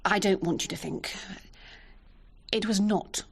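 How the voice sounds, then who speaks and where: A woman playing a stern, proud, exacting English wife in a radio drama, crisp and reproving. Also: mid-range voice, a little slow. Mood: stiff, conciliatory.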